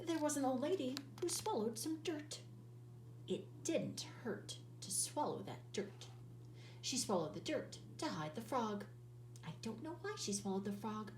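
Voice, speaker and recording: A woman teacher reading a children's picture book aloud in an expressive, sing-song storytelling voice, drawing out words. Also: fairly high pitch, unhurried, reverberant, distant mic, a little hiss or hum.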